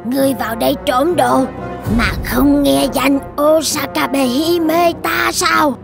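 An imperious serpent demoness from a children's cartoon, acted by a woman. Her voice is high and creaky, drawing out each menacing phrase. Music plays underneath. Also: fairly even intonation, full character voice. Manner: slow, drawn-out, imperious. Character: menacing female serpent demon of haunted castle.

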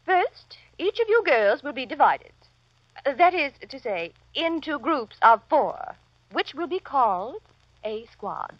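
An actress playing an officious, self-important office supervisor with formal, speech-making delivery in a vintage radio comedy. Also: female voice, high voice, very animated, takes it slowly, no echo, full character voice. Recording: muffled, lo-fi old radio recording.

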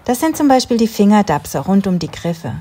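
A woman in her thirties calmly narrating a home cleaning routine in a voice-over, giving step-by-step tips straight to the viewer. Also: mid-range voice, normal speed. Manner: calm, instructive, talks directly to the viewer. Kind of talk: calm scripted voice-over narration.

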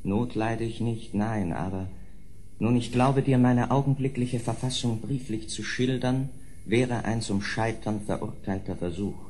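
Over faint noise and ambience comes a lowish-voiced, tormented nobleman acted by a man. He is weary and anguished, choosing formal words haltingly, in the manner of a gothic radio play. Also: a little mellow, lightly acted. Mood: anguished pleading. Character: tormented, weary nobleman pleading with his old friend. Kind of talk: dramatic gothic audio drama acting.

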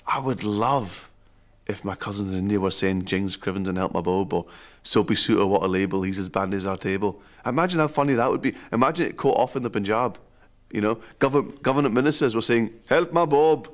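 A man's voice: on the low side, fairly quick. A man chatting casually as an interviewee, telling anecdotes in a playful, amused way.

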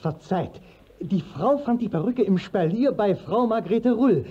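An actor playing a deferential court clerk who speaks formally in a radio play. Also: male voice, high-pitched, lively intonation, unhurried, slightly rough, exaggerated voice. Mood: deferential, pointed. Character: deferential court clerk giving damning testimony. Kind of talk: classic German radio play acting. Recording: dull old 1950s radio recording.

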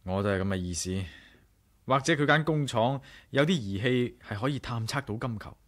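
An actor with a Hong Kong Cantonese accent playing a level-headed, inquisitive adventurer in a 1980s radio drama. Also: male voice, mid-range voice, normal speed, close to an everyday voice. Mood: firm.

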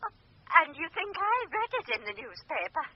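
A theatrical spirit medium, swooping delivery. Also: female voice, high voice, big pitch swings. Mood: mystical, intense. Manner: breathless, halting, fussing endearments. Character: gushing, theatrical female spirit medium, coy and mysterious. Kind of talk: radio drama acting. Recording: dull, noisy old radio drama recording.

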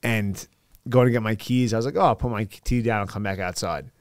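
A man in his thirties from the Bronx with a New York accent, telling his story as a podcast guest in a studio on a boom mic, animated with quick asides. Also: no room sound. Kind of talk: casual storytelling. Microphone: studio mic on boom arm, headphones.

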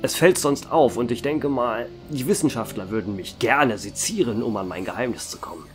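A man playing a centuries-old vampire who runs a bar, telling his story in a calm, measured way. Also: medium pitch, natural voice. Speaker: narrator and bar owner. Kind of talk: fan audio drama narration. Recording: music bed under the voice.

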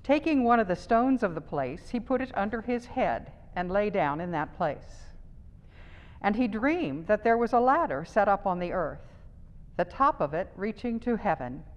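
An older woman reading scripture aloud in a measured, even way, wearing a face mask in a small church. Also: mid-range voice, muffled recording. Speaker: lay reader. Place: small church chancel, indoors. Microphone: wearing a face mask, far camera. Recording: long church reverb.

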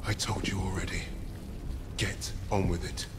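A man playing a stern, defiant squad leader in a war video game, speaking in a measured, commanding way. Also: sits low, flat delivery, very noisy. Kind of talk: cinematic video game acting. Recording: loud film music behind.

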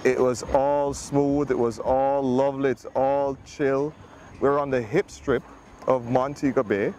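A man's voice: mid-range voice, steady tone, measured pace, dark tone, slight background noise, low-quality recording. Upbeat male tourism official outdoors. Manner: upbeat and reassuring. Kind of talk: casual on-location interview. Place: outdoors on a busy beach. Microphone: lapel mic. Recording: outdoor beach ambience behind.

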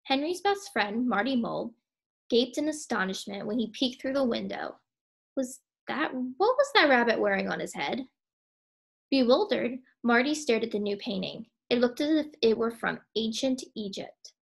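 Young female storybook reader, higher-pitched with creaky fry, emphatic delivery. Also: expressive, normal speed. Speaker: teacher reading aloud. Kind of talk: storybook read-aloud.

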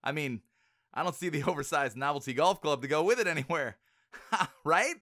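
A man's voice: fairly high pitch, expressive, a little fast, fairly bright tone. An actor playing a wisecracking, casual male golfer buddy in an anime-style game, slangy and joking.

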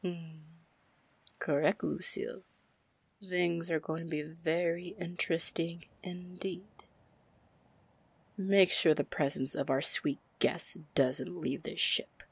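A woman playing a confident, commanding, flirty female pirate captain in an ASMR fantasy roleplay, breathy and deliberate. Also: steady tone, no echo, exaggerated voice. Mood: quietly commanding. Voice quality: breathy, low, husky. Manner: slow, deliberate, smooth-talking. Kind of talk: ASMR roleplay acting, fantasy audio drama.

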